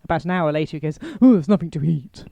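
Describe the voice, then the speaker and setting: Wry, mellow-voiced woman in easy chat. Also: low voice, fast talker, vocal fry, dry recording. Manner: dry, understated, wry. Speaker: podcast co-host. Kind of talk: casual comedic chat.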